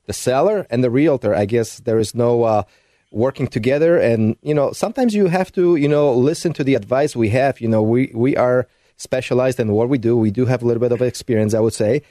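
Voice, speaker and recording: A man's voice: medium pitch, fairly quick, mellow, no echo, clean studio sound. A man speaking as a realtor guest on a radio show, conversational and full of fillers.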